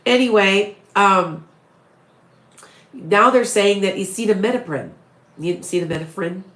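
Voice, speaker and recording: Woman, raspy, head cold, sniffly, short-winded, rambling live-stream chat, echoey room. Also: medium pitch, a little slow, a bit bright. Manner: rambling, restarts often, talks straight to viewers. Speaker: psychic medium live-streaming to followers.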